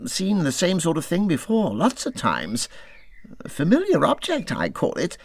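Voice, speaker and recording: A man's voice: sits high, wildly swooping pitch, unhurried, no echo, voice pushed up, heavily acted. A man voicing a small, plaintive, grumbling mole in a storybook reading, with a whining, aggrieved manner. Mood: unimpressed. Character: plaintive little male mole, miserable, grumbling and impatient. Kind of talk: storybook character voice in audiobook reading.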